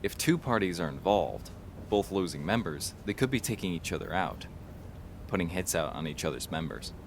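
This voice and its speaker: A level-headed detective partner in an audio drama, with a very deep voice. He reasons things through in a matter-of-fact way. There is clear background noise around him. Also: animated, normal speed. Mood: analytical. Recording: noticeable background ambience.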